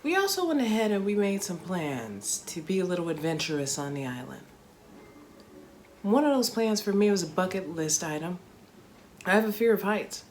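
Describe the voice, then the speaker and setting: A woman from Chicago, a comedian, narrating a travel story in a chatty, animated storytelling way with playful asides. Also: fairly low pitch, normal speed, slight background noise. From Chicago, United States.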